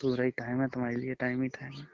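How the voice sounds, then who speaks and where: A man's voice: medium pitch, monotone, fast talker, creaky, slight background noise. A young man chatting casually with his partner on a phone call. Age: young adult. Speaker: boyfriend on a prank call. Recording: dull, lo-fi phone call recording.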